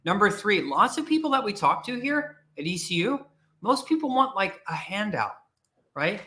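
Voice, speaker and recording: A man's voice: high-pitched, sing-song, moderate pace, bright, forceful tone. A man hosting a career podcast, talking to listeners in an emphatic, repetitive way and challenging them directly. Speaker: podcast host and career coach. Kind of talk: motivational livestream talk.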